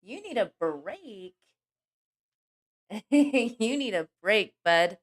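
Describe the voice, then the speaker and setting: Hoarse, slow-talking woman streamer. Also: mid-range voice, lively intonation. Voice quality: scratchy. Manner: chatty, greets each viewer by name. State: hoarse from a cold. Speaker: livestream host. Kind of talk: casual livestream chat greeting viewers.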